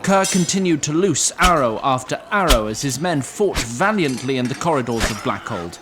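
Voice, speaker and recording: A man with a medium-pitched voice narrates dramatic fantasy. His delivery is deliberate and solemn, clearly scripted. Music plays prominently behind him. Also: animated.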